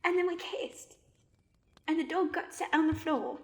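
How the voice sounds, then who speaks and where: A needy, defensive eccentric woman, acted out by a performer speaks in an extremely high voice. Her delivery is dramatic, suited to an improvised comedy radio play. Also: moderate pace. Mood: storytelling.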